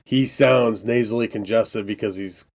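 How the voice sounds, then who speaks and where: A man's voice: fairly low pitch, steady tone, normal speed, darkish tone. A man co-hosting a podcast while congested from a cold, rambling with hesitant, chuckling asides. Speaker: podcast co-host. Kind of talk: casual chat.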